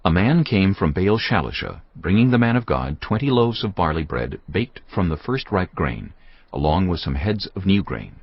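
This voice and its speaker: A man with an extremely deep voice narrates scripture. His delivery is solemn yet widely varied, like dramatic storytelling, in a slightly muffled recording with soft music underneath. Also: sing-song, moderate pace, breathy edge, no room sound. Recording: muffled audio with music behind.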